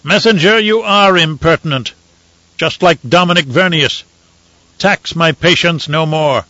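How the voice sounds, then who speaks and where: A male narrator voicing a cold, imperious emperor who speaks in a stern, measured way. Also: on the high side, sharp tone, no echo, highs cut off, pitched above the performer's own voice.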